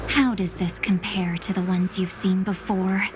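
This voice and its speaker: A woman's voice: mid-range voice, fairly even intonation, a little slow, noisy background, natural voice. A woman playing a curious, easygoing young companion in a fantasy game, with music behind her. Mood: curious, puzzled. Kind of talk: scripted game dialogue.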